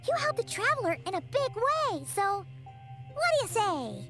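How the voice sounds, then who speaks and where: A woman voicing a tiny, childlike fairy companion in a video game, chirpy, cheeky and dramatic, with a squeaky voice. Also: big pitch swings, moderate pace. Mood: flustered. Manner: speaks of herself in the third person, dramatic. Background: game music.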